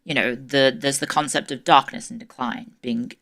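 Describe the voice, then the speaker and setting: A woman's voice: extremely low pitch, fairly even intonation, moderate pace, gravelly, a bit bright. A woman giving a casual livestream history lecture, chatty and self-deprecating with many ums, her voice strained and tired. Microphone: desk microphone, peaking at times.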